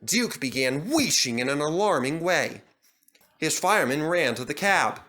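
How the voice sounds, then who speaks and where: A man reading a children's story aloud in dramatic, expressive scripted narration. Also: a little high, a little slow. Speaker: narrator and reader.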